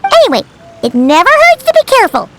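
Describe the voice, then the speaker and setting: A woman voicing a small, childlike, cheeky fairy companion in a video game, squeaky and exclamatory. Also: huge pitch swings, fast, background noise, heavily acted. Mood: upbeat. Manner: exclamatory, refers to self in third person. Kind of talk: video game character acting.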